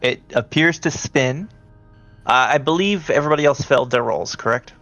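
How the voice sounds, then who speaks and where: A man running a tabletop horror game as game master, talking in a halting, conversational way. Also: mid-range voice, fairly bright tone, dry recording, muffled recording, faint music behind.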